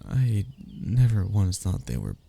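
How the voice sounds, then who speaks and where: A young man playing a wistful, lovestruck childhood best friend in an intimate audio roleplay, speaking in a halting, sighing, confessional way. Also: low-pitched, wildly swooping pitch, creaky, dark tone, husky, heavily acted. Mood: tender, lovestruck. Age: young adult.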